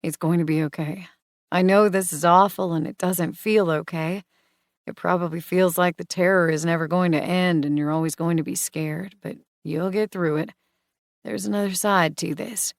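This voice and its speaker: A gentle, reassuring woman read by a female narrator talks in a calm, measured way. Her voice sits low with a light gravel. The recording is close and dry. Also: no room sound, natural voice. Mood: soothing.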